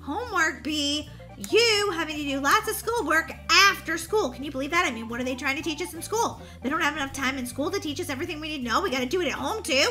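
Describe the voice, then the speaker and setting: A woman's voice: sits high, lively intonation, quick pace, pushed and bright, soft background music. Molly: a woman playing a sassy schoolgirl. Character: sassy young schoolgirl, big sister.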